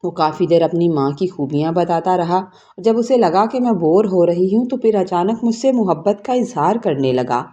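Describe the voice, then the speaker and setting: Mellow-voiced woman narrating a story. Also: on the low side, few pauses, dull audio. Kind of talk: scripted first-person story narration.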